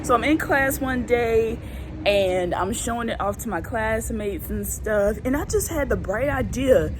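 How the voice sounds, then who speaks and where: A woman's voice: fairly high pitch, animated, measured pace. Chatty young woman with background noise. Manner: chatty, laughing, acts out childhood voices. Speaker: vlogger telling personal stories. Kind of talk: casual storytelling. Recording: clear background noise, vehicle ambience.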